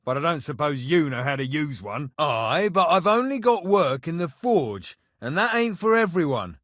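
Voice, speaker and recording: Medieval game blacksmith, blunt, sardonic, exasperated, lively swooping intonation, dry studio sound. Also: male voice, fairly high pitch, moderate pace, no room sound. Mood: instructing, wry. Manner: blunt, sardonic, exasperated, rough colloquial phrasing. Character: gruff, grumbling, mocking medieval castle blacksmith, male. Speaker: blacksmith character in a video game. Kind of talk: video game character dialogue.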